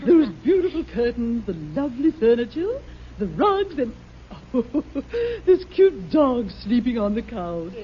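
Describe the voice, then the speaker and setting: A woman's voice: a little high, big pitch swings, a little hiss or hum. A woman playing a gushing, genteel, fluttery schoolteacher with an effusive manner in a 1950s radio comedy. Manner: effusive, drawn-out, tittering. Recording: muffled old radio recording with audience.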